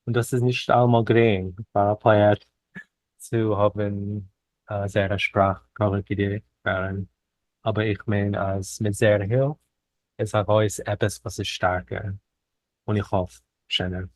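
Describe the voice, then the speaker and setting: A man interviewed as a guest poet, speaking a learned second language in hesitant, deliberate short phrases with pauses. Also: lowish voice, flat delivery, a little mellow. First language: not a native speaker of Yiddish. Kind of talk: reflective interview conversation.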